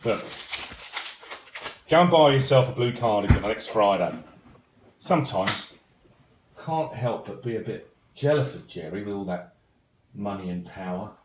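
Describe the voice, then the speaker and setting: A man's voice: on the low side, unhurried, long pauses, echoey room, a little hiss or hum, natural voice, poor audio. A man with an English accent playing an easygoing, cheeky working husband in an amateur play, wry and chatty. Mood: wry, reflective. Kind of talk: amateur stage play acting, recorded at home.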